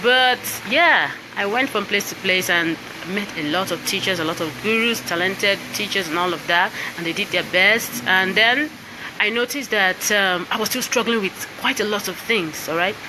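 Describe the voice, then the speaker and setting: A woman with a Nigerian accent and a mid-pitched voice talks to viewers, chatty and encouraging, often asking "all right?" to check they follow. The noisy live-stream sound carries a steady background hiss. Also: normal speed. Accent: Nigerian English. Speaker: singer and voice coach presenting her book. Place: indoors with piano and laptop.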